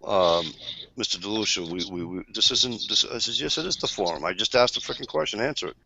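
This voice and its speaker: A man's voice: fairly low pitch, lively intonation, brisk, gravelly, sharp tone, dull audio. A man hosting a casual online group chat from home, joking, laughing often and swearing freely. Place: at home, wife in the back room.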